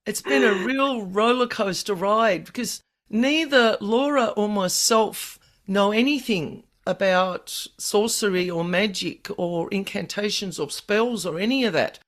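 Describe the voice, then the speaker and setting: A woman hosting a podcast in casual chat, her voice croaky and hoarse from a virus, explaining things at length in an earnest way. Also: medium pitch, measured pace, hardly any pauses. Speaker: podcast host.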